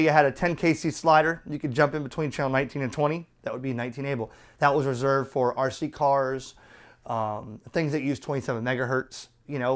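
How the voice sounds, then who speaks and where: Clear-voiced man demonstrating gear. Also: fairly high pitch. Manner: blunt, colloquial, talking step by step. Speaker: radio product reviewer and presenter. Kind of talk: casual unscripted hands-on demonstration and review.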